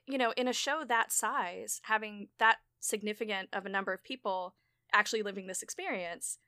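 A woman's voice: medium pitch, moderate pace, sharp tone, no echo, clean studio sound. A woman hosting a podcast, talking casually in a chatty way and often breaking into laughter. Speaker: podcast host and show creator.

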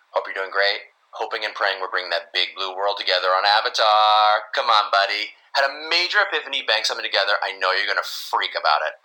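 A man's voice: sits low, bright, forceful tone, rough recording. An actor playing a slick, hyped-up businessman leaving a clipped, gushing voicemail in an audio comedy.

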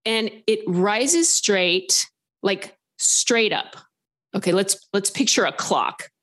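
A woman from Southern California calling in to a podcast, telling her story in an animated, rambling way full of filler words. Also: mid-range voice, takes it slowly. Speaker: caller sharing a paranormal story. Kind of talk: casual storytelling on a phone-in podcast.

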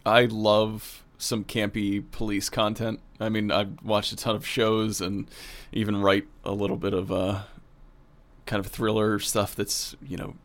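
A man's voice: lowish voice, little pitch movement, unhurried. A man talking casually as a producer interviewing a colleague in a behind-the-scenes conversation.

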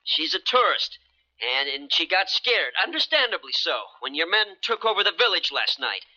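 An actor with an American accent playing a brash, slippery smuggler in a 1970s radio drama, protesting glibly with stammers. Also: male voice, on the high side, big pitch swings, normal speed, pushed and bright, full character voice. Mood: smooth bluffing. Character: brash, slippery American boat-owning smuggler, indignant under pressure. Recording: muffled old radio broadcast recording.

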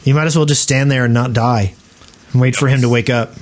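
A man co-hosts an informal podcast about games in a middle-pitched voice. He has a dry, teasing way of talking with the others. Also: steady tone, fairly quick. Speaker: podcast co-host. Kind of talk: casual joking chat about a video game.

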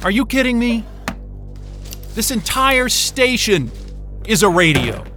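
A man's voice: sits high, slow pace, faint music behind, heavily acted. A man playing a frantic, hot-tempered, impatient stranded man in a naturalistic audio drama. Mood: frustrated. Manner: excitable, exasperated, muttering and cursing.

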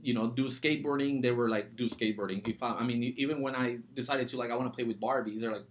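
A man's voice: medium pitch, fairly even intonation, fast talker. A man in his forties from Mexico, talking casually as an interview guest and entrepreneur on a podcast. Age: 40s.

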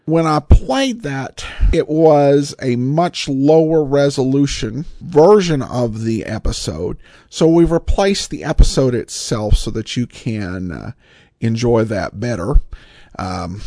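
Male solo podcaster, lively intonation, conversational and a little halting, recorded dry. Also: a little high, no room sound. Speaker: podcast host.

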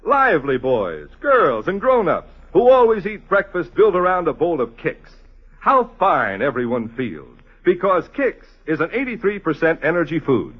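A man reading a 1950s radio advertisement in a punchy salesman's manner, with a rough-edged voice on an old broadcast recording. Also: fairly high pitch, huge pitch swings, moderate pace, phone-quality sound. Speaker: radio commercial pitchman.

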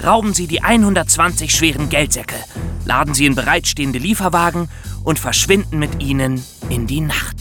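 A man's voice: a little high, expressive, moderate pace, music in the background, close to an everyday voice. A young man playing a curious podcast co-host, explaining true crimes in a scripted show for children. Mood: explanatory, suspenseful.

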